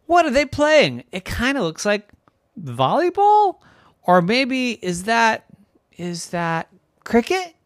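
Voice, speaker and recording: A bossy yet caring big sister in a bedtime story, all quick, excitable chatter. A storytelling parent voices her with a lively pitch that jumps around, recorded dry and close. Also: dry recording. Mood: excited. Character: older sister, bossy but kind.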